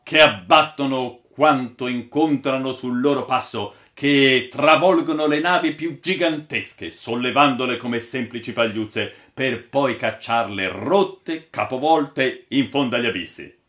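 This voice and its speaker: A man's voice: mid-range voice, measured pace, hardly any pauses, fairly bright tone, voice pushed up, lightly acted. A man voicing a boastful, sardonic old sailor in a storybook, telling tales in a grandiloquent, dramatic way. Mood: grandiose, dramatic. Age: older.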